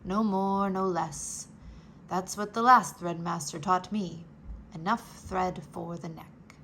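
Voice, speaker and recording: Storybook mouse threadmaster, read by a woman: male, low, confiding, courteous but bound by rules; faint hiss. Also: steady tone, slow pace, creaky, full character voice. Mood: hushed. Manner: low hushed murmur, polite and confiding.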